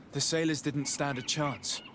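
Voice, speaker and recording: Young noble diplomat in a video game, slightly high voice, polite n earnest, bit of background noise. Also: male voice, fairly even intonation, takes it slowly, fairly bright tone. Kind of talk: dramatic game dialogue.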